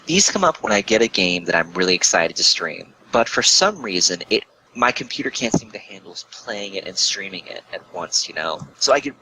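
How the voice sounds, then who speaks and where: A man's voice: low voice, pushed and bright. A man talking casually as a podcast guest, hesitant and rambling as he picks his words over a noisy voice call. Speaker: guest, game streamer.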